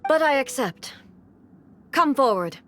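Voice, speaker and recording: A woman playing a stern, imperious divine shogun in a video game, speaking in a formal, measured, commanding way. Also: high-pitched, animated, a bit bright, a little hiss or hum, heavily acted. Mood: coldly solemn.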